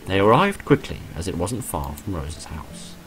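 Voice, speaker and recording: A man's voice: deep voice, big pitch swings, moderate pace, a bit bright, faint music behind. A man hosting a visual novel playthrough, reading the story text aloud and adding his own casual asides.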